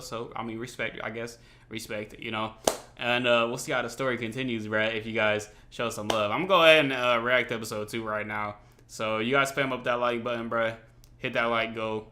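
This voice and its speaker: A man hosting a reaction video, chatting casually and slangily to viewers in an animated way. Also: medium pitch. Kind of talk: casual excitable commentary.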